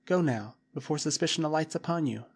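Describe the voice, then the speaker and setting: A man's voice: fairly high pitch, brisk, slightly rough. A man voicing a decisive young woman who firmly leads a group in danger. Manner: firm, decisive. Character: commanding young woman, cool-headed leader.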